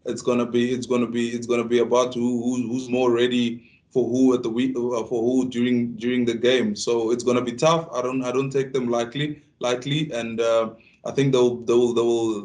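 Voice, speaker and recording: A South African man whose first language is Tsonga, a rugby player answering press conference questions in a halting way with many restarts and repeated words. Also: mid-range voice, level tone, darkish tone.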